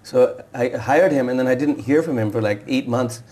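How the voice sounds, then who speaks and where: A man's voice: medium pitch, a little mellow. A man being interviewed as an author on a TV talk show, speaking in a hesitant way with frequent restarts. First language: Urdu and Punjabi speaker. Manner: fast, hesitant, with many restarts. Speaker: author guest. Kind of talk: TV interview conversation.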